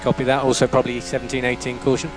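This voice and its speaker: A man's voice: mid-range voice, completely flat delivery, rapid-fire, background noise. A man working as a race engineer, giving terse, matter-of-fact instructions over team radio and repeating key phrases. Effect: team radio transmission.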